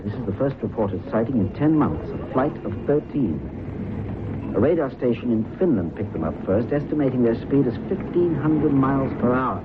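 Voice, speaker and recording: An actor playing a keen, curious reporter and husband in a vintage radio drama. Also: male voice, mid-range voice, animated, normal speed, close to an everyday voice. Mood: absorbed, intrigued. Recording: noisy, narrow-band old radio recording.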